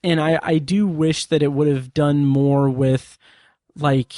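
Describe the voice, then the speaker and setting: A man co-hosting a podcast in casual chat, halting and searching for words, his voice getting hoarse. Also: on the high side, steady tone, dark tone, no room sound, studio quality. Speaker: podcast co-host.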